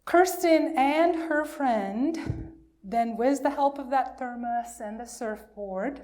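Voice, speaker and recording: A woman minister telling a story to a congregation of all ages, pausing and putting questions to her listeners. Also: high-pitched, mellow, soft background music.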